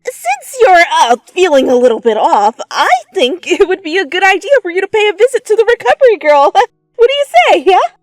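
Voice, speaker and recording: Woman playing a high-pitched, flustered teenage girl. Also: sing-song, a little fast, darkish tone, no echo. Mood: panicked, frantic. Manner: stuttering, blurting, nervous laughs. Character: flustered, embarrassed teenage girl, stammering and pleading. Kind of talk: anime fan fiction dramatic reading. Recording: music behind the voice.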